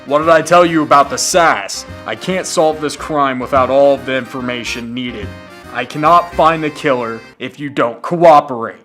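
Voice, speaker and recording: Man playing stern noir detective, mid-pitched, blunt, suspicious, radio drama, music underneath. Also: moderate pace, a bit bright, close to an everyday voice. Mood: stern, irritated. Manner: hard-boiled, interrogating. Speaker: student voice actor.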